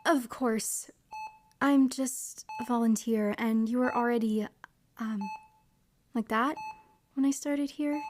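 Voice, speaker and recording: A woman's voice: a little high, steady tone, slow, long pauses, darkish tone, slight background noise. A young woman playing a shy, apologetic female hospital volunteer in an audio drama, with a hesitant manner. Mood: nervous, apologetic. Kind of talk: audio drama acting with reflective first-person narration.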